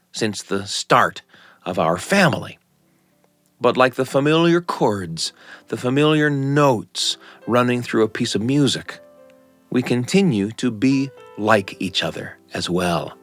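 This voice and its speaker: A middle-aged man hosting and telling stories from a script, with measured, reflective phrasing. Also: mid-range voice, a bit bright, no echo, clean studio sound. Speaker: host and storyteller. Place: dry studio. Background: faint music.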